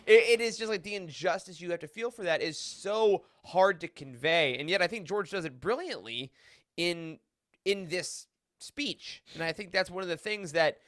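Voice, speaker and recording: A man's voice: high-pitched, lively intonation, normal speed, fairly bright tone, no echo, studio quality. A man discussing a story casually on a podcast roundtable, with an emphatic, informal way of talking. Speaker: podcast panelist.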